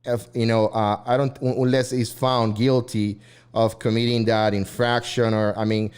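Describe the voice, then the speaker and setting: Man, casual co-host, rambling, repeats words, tells stories, mid-pitched voice. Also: flat delivery, moderate pace, a little mellow, smooth. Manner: rambling, repeats himself, storytelling. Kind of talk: casual radio chat.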